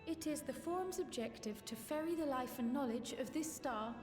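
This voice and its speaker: An actress voices a precise female official in a video game. Her high voice stays calm and exact. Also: normal speed, music in the background, lightly acted. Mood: composed. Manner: composed, precise.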